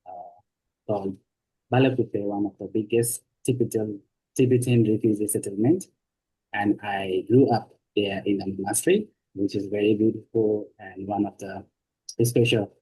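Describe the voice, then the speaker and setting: A man from Nepal introducing himself as a panelist on a video call, polite and steady. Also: lowish voice, breathy edge, poor audio. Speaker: panelist, doctoral student.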